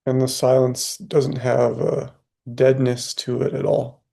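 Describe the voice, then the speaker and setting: A man leading a guided meditation as a teacher, unhurried and leaving long pauses. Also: medium pitch, completely flat delivery, vocal fry, mellow, breathy edge.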